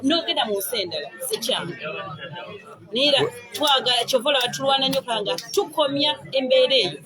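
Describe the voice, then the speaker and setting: A woman from Uganda with a Ugandan accent, giving a fervent, rousing address at a conference. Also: high-pitched. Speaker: conference attendee. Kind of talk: impassioned speech to a crowd. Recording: crowd noise behind.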